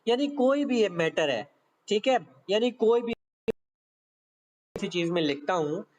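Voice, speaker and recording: A man's voice: high voice, animated, measured pace, a little hiss or hum. A man lecturing online on law, explaining step by step didactically and mixing English terms into his speech. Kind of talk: online exam-prep lecture, explaining and reading out clauses. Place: online meeting class.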